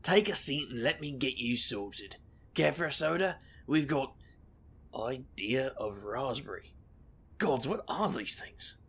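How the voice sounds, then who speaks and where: A man playing a boastful, scheming supernatural investigator in an audio drama, wry, glib and theatrical, with a gravelly, husky voice. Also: mid-range voice, lively intonation, a little slow, fairly bright tone, heavily acted. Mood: flustered. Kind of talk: audio drama narration and dialogue.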